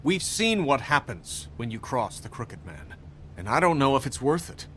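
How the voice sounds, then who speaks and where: An actor playing a suspicious, easily riled husband in a game drama, speaking with sarcasm and indignation. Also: male voice, medium pitch, very animated, moderate pace, bright, forceful tone, a little hiss or hum. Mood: defensive, wary. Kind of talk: video game drama acting.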